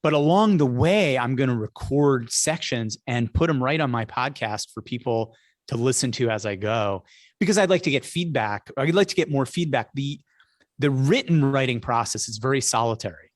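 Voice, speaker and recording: A man's voice: mid-range voice, expressive, normal speed, no room sound. Male podcast host, close dry sound. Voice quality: practised public speaker. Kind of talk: conversational podcast talk.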